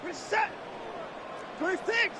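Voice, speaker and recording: A man's voice: very high-pitched, slow. A man voicing a quarterback calling short, clipped snap counts at the line, heard from a distance over a stadium crowd. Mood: barking calls. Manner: short clipped shouted calls. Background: crowd and stadium noise.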